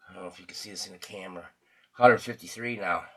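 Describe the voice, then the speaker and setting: A man's voice: low-pitched, fast talker, background noise. A man casually thinking aloud through a repair demonstration, mumbling to himself and trailing off mid-thought. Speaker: radio repairer hosting a repair video.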